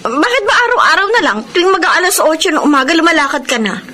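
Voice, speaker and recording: An actress playing a worried, suspicious, nagging wife with a Filipino accent in a radio drama. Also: female voice, very high-pitched, very animated, quick pace, sharp tone, a little hiss or hum, full character voice.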